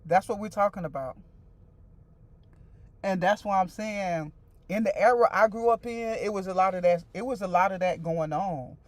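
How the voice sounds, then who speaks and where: A woman's voice: medium pitch, normal speed, dry recording. A woman in her forties hosting a casual stream, talking loosely and repeating herself as she chats straight to her viewers and answers their comments. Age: 40s. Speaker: livestream host.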